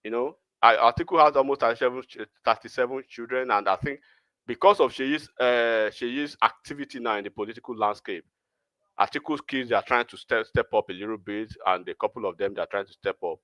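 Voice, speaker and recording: A man's voice: mid-range voice, brisk, pushed and bright, dry recording. A Nigerian man with a Yoruba accent, hosting a casual political discussion and talking in long, rambling runs full of restarts. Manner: rambling, many restarts and fillers.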